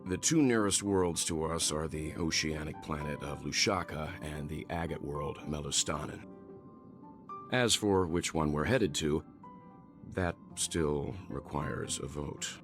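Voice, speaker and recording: An actor playing a wise, measured older mentor and navigator in a video game, speaking thoughtfully and articulately. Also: male voice, very deep voice, music in the background. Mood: businesslike.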